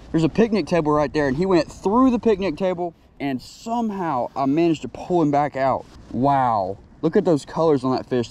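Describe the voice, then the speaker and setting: Excitable young man fishing outdoors. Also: a little high. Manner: excitable, talks to the fish while reeling. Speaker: fishing vlogger. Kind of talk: excited solo fishing vlog narration. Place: outdoors by a lakeside. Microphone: chest-mounted action camera.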